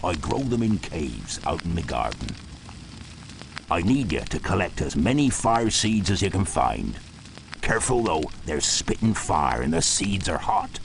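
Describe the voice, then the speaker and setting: An actor playing a big, friendly, folksy groundskeeper for a video game, gruff and gravelly, with a West Country English accent. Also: male voice, low-pitched, very animated, unhurried, slight background noise, full character voice, poor audio. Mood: hearty, confiding. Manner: folksy, hearty, dropped sounds. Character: big friendly groundskeeper fond of monsters, warm and eager. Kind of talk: video game character acting. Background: game music.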